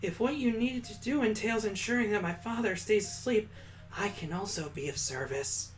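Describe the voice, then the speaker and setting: A man's voice: high-pitched, moderate pace, pitched above the performer's own voice, natural voice, low-quality recording. A man voicing a stoic, detached schoolgirl who speaks with formal politeness in a visual novel game, over music. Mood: earnest, formal. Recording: music behind the voice.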